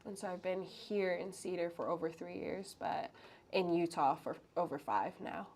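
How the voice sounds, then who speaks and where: A woman's voice: on the low side, moderate pace, a little mellow, slight background noise. A young woman from Hawaii, a college student who works as a peer advisor, chatting in an upbeat, friendly way. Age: young adult. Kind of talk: casual chat.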